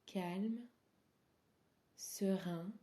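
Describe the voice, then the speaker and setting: A woman leads a guided meditation at a very slow pace, with a medium-pitched voice. She speaks softly and soothingly, leaving pauses between short phrases. Some phrase endings fade into a breathy softness. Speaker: yoga nidra instructor.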